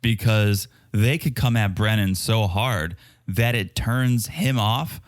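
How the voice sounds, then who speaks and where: A man's voice: on the low side, slow, no echo, clean studio sound. A man co-hosting a podcast about a reality show, chatting casually with a sarcastic edge and whose first language is Czech. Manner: sarcastic, quotes show participants with lively impressions. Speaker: podcast co-host.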